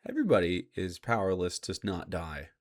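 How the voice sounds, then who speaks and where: A man's voice: low voice, very animated, fairly quick. A man doing casual live reaction commentary as a streamer, argumentative, sarcastic and given to swearing.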